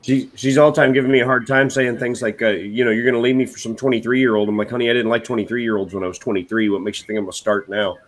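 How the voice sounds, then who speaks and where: A man's voice: medium pitch, steady tone, quick pace, hardly any pauses. A man in his forties chatting casually, stammering and joking. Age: 40s. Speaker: guest. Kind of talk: casual group chat.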